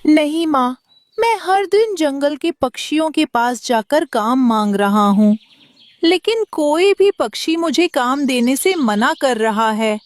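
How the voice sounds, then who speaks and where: A woman as an earnest little boy bird, high-pitched. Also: expressive, no echo. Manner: earnest, childlike, deliberate delivery. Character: young boy bird, dutiful, worried son. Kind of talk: children's cartoon dialogue.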